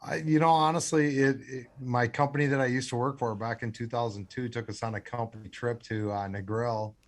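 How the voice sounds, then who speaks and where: A man's voice: mid-range voice, steady tone, fairly quick. An American man in a radio interview, talking earnestly with many restarts and fillers. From United States. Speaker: radio guest, scholarship founder.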